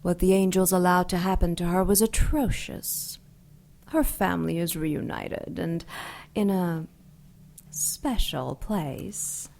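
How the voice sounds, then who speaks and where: A woman playing a seductive, vain ancient dark angel in an audio drama, teasing and theatrical, savoring her words with amused menace. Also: lowish voice, slow pace, creaky. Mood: needling, condescending.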